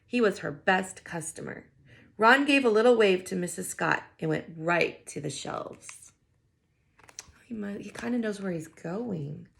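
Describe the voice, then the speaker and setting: Very creaky-voiced woman reading a children's story. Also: mid-range voice. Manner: expressive, thinking aloud. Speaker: read-aloud teacher. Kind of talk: children's picture book read-aloud with wondering asides.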